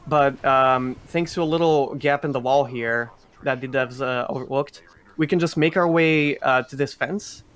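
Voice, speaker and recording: A man's voice: mid-range voice, normal speed, a bit bright, clear, resonant tone. A man giving explanatory live commentary on a speedrun. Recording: faint background noise, live event stream.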